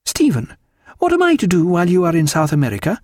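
A man's voice: fairly high pitch, very animated, moderate pace, a little mellow, dry recording, studio quality, voice pushed up. A man voicing a vivacious, elegant upper-class wife with an exclamatory, refined period manner in an audiobook. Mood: eager, affectionate.

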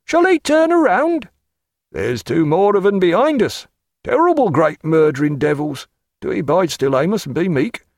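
A man's voice: high voice, sing-song, normal speed, darkish tone, no echo, clean studio sound, voice pushed up. A man voicing an agitated country postboy who speaks in broad rustic dialect. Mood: alarmed. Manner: broad rustic speech, urgent.

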